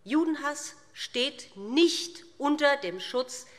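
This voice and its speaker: A middle-aged woman giving a formal, prepared political speech with deliberate, emphatic phrasing, speaking at a lectern microphone as a parliamentarian. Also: high-pitched, expressive. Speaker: parliamentary speaker for a party group. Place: large indoor parliament chamber. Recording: reverberant large hall.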